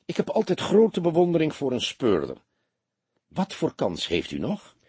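Male narrator as wealthy businessman, audiobook character, polished formal manner, animated pitch, very raspy. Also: moderate pace, dry recording, full character voice. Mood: affable, curious. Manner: affable, formal, exclamatory. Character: wealthy smooth businessman, theatrically distraught, then affably condescending.

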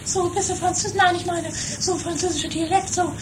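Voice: very high voice, steady tone, quick pace. A performer voicing a bossy, doting girlfriend in an improvised eighties comedy radio play. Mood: coaching. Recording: very noisy home radio play.

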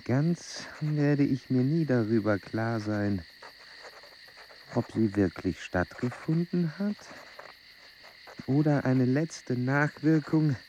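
An actor playing a proud, fussy scientist explorer in a radio play, speaking formally and with precision. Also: male voice, medium pitch, darkish tone, slight background noise, natural voice, rough recording. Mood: pensive.

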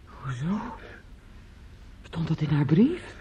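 Slow-spoken, sorrowful worldly woman. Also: low-pitched, sing-song, warm tone, full character voice. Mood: anguished. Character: worldly, sorrowful older woman consoling a young man. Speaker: radio drama actor. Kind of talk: Dutch radio play acting. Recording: muffled, noisy old radio play recording.

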